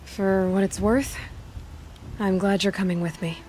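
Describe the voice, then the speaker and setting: An actress playing an inquisitive young huntress in a video game, calm and direct. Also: female voice, medium pitch, a little slow, slight background noise, close to an everyday voice, poor audio. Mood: calm, probing. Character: level-headed, inquisitive young huntress heroine.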